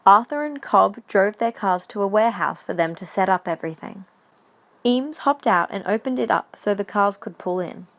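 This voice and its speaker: A woman's voice: mid-range voice, expressive, moderate pace, a bit bright. A woman reading scripted story narration for an audio drama.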